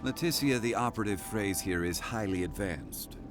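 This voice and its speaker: An erudite, haughty scholar in a fantasy video game, voiced by a man. He speaks low, with pompous and archaic wording and a keen curiosity, over clearly audible music. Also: expressive, normal speed, close to an everyday voice. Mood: explaining. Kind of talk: video game character acting.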